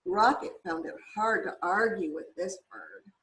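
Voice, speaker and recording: A woman's voice: mid-range voice, sing-song, a little slow, fairly bright tone, a little hiss or hum. A woman reading a picture book aloud to young children, expressively, with casual asides to her listeners. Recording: roomy, echoing indoor sound.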